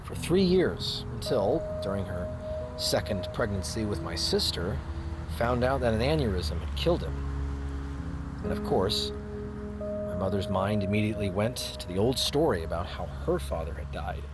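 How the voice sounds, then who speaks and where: A man's voice: fairly low pitch, animated, moderate pace, over music, lightly acted. A man playing a grumpy, wounded bookstore manager, narrating his family memories in a wry, reflective way for an audio drama. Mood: wry, uneasy. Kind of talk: first-person dramatic narration.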